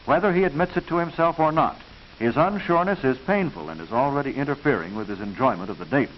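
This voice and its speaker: Male narrator, American, mid-century educational film style. Instructive, proper, expressive intonation, dull hissy vintage audio. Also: mid-range voice. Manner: instructive, proper, measured enunciation. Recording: hissy, muffled 1940s film soundtrack.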